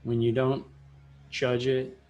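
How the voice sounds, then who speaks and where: A man leading a guided meditation in a soothing style, speaking in short, measured phrases with long pauses. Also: medium pitch, monotone, lo-fi sound. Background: soft plucked guitar music.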